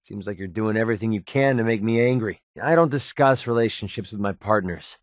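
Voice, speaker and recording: A man's voice: fairly low pitch, brisk, no room sound, studio quality, lightly acted. A man playing a sardonic but loyal young mercenary companion in a video game, with a casual, wry delivery. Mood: annoyed.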